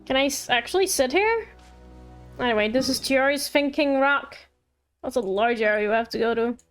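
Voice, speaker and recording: A woman game streamer giving casual, halting commentary, musing aloud as she plays. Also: high voice, soft background music. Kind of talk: casual live gameplay commentary.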